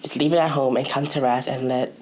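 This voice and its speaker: A woman's voice: rumbling low, steady tone, quick pace, darkish tone, slight background noise. A young woman from Malaysia giving a casual personal account, hesitantly searching for her words. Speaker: youth programme participant.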